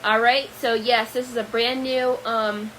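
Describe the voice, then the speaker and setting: A woman's voice: a little high, flat delivery, measured pace, fairly bright tone, slight background noise, poor audio. A woman hosting a casual podcast, introducing a video in a loose, rambling way with frequent ums. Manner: rambling with many ums, easygoing. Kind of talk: casual chat.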